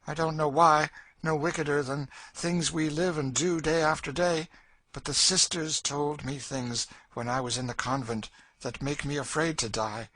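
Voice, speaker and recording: A world-weary woman, played by a male reader, speaks with bitter, fatalistic emphasis in a raised, forceful voice. She is a despairing audiobook character, dry in manner, heard close with no room sound. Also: a little high, steady tone, moderate pace, no room sound, voice pushed up, low-quality recording.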